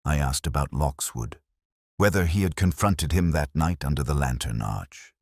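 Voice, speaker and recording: A man narrating a scripted first-person detective story in a measured, solemn, literary manner. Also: a bass voice, expressive, creaky, slightly rough, no echo, studio quality.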